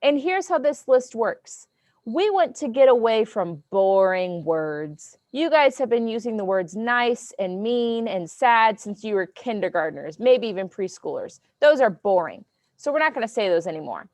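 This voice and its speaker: Loud, goofy woman teacher. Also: a little high, very animated, normal speed, no echo. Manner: loud and goofy, checks in with "okay?". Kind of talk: classroom lesson to children.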